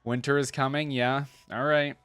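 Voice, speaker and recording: A woman hosts a casual reaction video in a very low voice. She makes informal asides and repeats lines back, with music faintly playing behind her. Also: level tone, normal speed, a bit bright.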